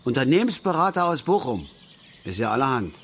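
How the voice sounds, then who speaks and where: An actor playing a middle-aged East German working-class angler, blunt, folksy and grumbling, in a naturalistic radio play. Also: male voice, mid-range voice, big pitch swings, fairly quick, a bit bright, slight background noise. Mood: gruff, sardonic.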